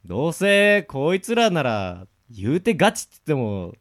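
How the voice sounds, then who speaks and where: A man's voice: a little high, huge pitch swings, normal speed. A man co-hosting a radio show in casual, slangy, blunt chat.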